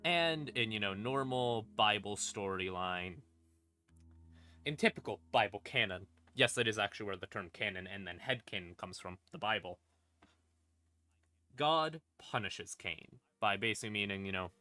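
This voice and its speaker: A man casually explaining game lore on a stream in an irreverent, sweary, rambling way, with dramatic pauses for emphasis. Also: lowish voice, animated, sharp tone, no echo. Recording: music playing in the background. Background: creepy ambient music.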